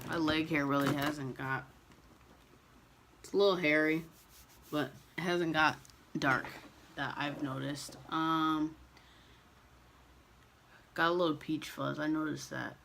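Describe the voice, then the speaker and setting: A young man chatting casually to camera as a vlogger, relaxed and loose, with frequent ums and restarts. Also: a little high, slow pace, vocal fry, pauses often, a little hiss or hum.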